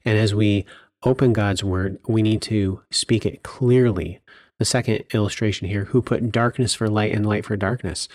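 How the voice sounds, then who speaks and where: A man giving an informal devotional Bible lesson on a podcast, explaining things earnestly and deliberately. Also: fairly low pitch, darkish tone, dry recording, studio quality.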